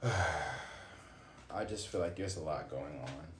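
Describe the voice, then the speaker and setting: A man's voice: a bass voice, expressive, slow, a little hiss or hum. A man in his late twenties ranting casually on a livestream while reading cards, thinking aloud with swearing and sudden exclamations. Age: 20s. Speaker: streamer doing a card reading. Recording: echoey room with faint ambience.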